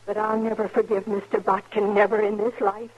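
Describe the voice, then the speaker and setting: An actress playing a proud, embittered older frontier mother in a 1950s radio western, husky and emphatic, with a folksy rural American accent. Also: mid-range voice, level tone, fairly quick, close to an everyday voice. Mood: bitter, grieving. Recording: dull, telephone-like 1950s radio recording.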